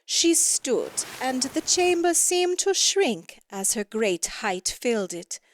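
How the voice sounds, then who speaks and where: A woman narrating a scripted fairy tale with expressive, deliberate emphasis. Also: sits high, dry recording. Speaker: story narrator.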